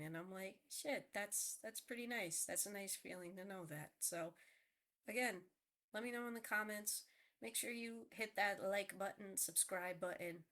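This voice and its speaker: A woman's voice: lowish voice, a bit bright. A woman with a nasal voice just after a cold, rambling earnestly in a casual vlog monologue. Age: adult. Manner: rambling, earnest, restarts often. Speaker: vlogger. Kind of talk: casual heartfelt tribute monologue.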